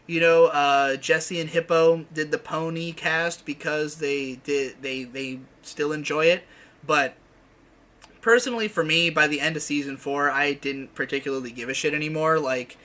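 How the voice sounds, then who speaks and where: A man's voice: fairly high pitch, steady tone, normal speed, bright, forceful tone. A man giving casual, opinionated commentary in an informal, sweary way, thinking aloud as he goes.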